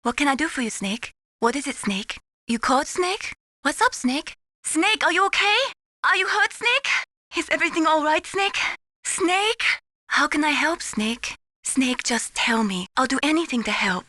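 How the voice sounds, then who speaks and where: A woman voicing an earnest, caring young mission support analyst in a video game, encouraging and gently solicitous. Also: high-pitched, lively intonation, moderate pace, no room sound. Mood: concerned, helpful.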